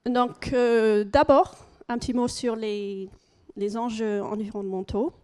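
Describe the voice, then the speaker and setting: A woman's voice: on the high side, steady tone, measured pace, a little mellow, clean tone. Woman with an English-speaking accent presenting. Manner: hesitant, frequent fillers and restarts. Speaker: conference speaker. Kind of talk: conference presentation with slides.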